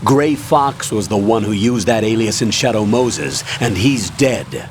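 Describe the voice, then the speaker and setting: A firm radio colonel. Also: male voice, lowish voice, lively intonation, measured pace, slight background noise. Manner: authoritative, firm. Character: commanding older male colonel on radio.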